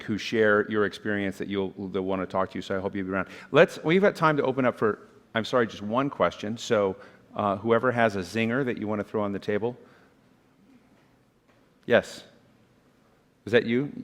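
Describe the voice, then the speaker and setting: A man's voice: on the low side, a bit bright. A man moderating a panel discussion in a conversational way, often restarting his sentences. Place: conference hall. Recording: reverberant hall.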